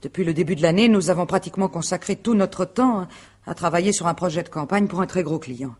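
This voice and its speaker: Radio mystery: proud wife under interrogation, played by a woman, husky, poised, formal, dryly ironic. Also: lowish voice, quick pace, darkish tone, close to an everyday voice. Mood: guarded, dryly ironic. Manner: articulate, formal, self-assured, dryly ironic. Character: composed, proud wife and agency director under questioning. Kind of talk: French radio mystery drama acting.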